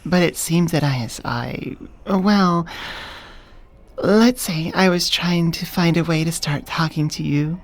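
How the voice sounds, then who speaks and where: Low, soft-spoken smitten elf woman voiced by an actress. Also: slow pace, dry recording. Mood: hesitant, earnest. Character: smitten, businesslike elf woman, dominant HR manager confessing love. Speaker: voice actor. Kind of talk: soft-spoken binaural ASMR roleplay.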